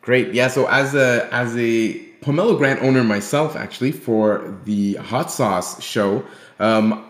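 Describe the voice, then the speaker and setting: A man's voice: medium pitch, a little slow, fairly bright tone. Male show host, friendly, lots of ums and uhs, echoey room. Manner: friendly, filler-heavy interviewer. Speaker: podcast host. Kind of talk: casual podcast hosting. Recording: roomy echo.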